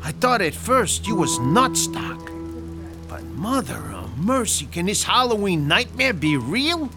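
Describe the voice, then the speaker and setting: Brief: a man voicing a streetwise mob boss, gravelly and high-set, slow and lively in pitch, music score behind. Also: full character voice. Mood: gruff. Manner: gruff, streetwise, menacing. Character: tough 1930s Chicago male mobster boss. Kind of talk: audio drama acting.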